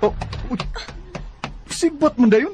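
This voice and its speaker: A man acting in a Bisaya radio drama as a smooth-talking, evasive young delivery driver with a Cebuano Filipino accent, given to exaggerated reactions and muttered asides. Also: very high voice, takes it slowly, mellow. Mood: startled, muttering. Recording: noisy radio drama recording.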